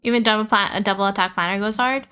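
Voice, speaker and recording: A woman's voice: mid-range voice, quick pace, pushed and bright, clean tone. A woman hosting a gaming livestream, thinking aloud casually and chatting informally with her viewers, often repeating herself. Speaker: livestream host. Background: music.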